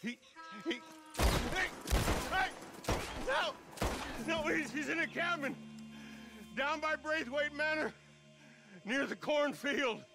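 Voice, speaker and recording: A man's voice: very high-pitched, slow, creaky, pauses often, over music, full character voice. An actor playing a frightened male captive in a video game, stammering and pleading in panic. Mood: terrified, pleading. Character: frightened male captive begging under threat. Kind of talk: video game cutscene acting.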